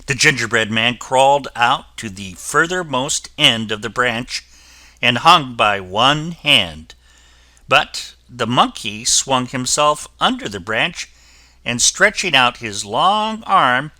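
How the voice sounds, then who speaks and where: A man reading a children's fairy tale aloud as the storyteller, with an expressive delivery. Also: mid-range voice, slow pace, no room sound.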